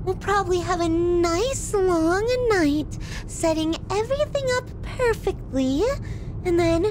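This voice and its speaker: An unhinged, possessive young woman speaks straight to her captive darling in an intimate ASMR roleplay. Her high voice swoops widely, with drawn-out, theatrical phrasing that mixes sweetness and menace. A loud low rumble runs behind her. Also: lively intonation, full character voice. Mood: teasing, smitten. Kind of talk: intimate ASMR audio roleplay, addressing the listener. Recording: rumbling low background noise.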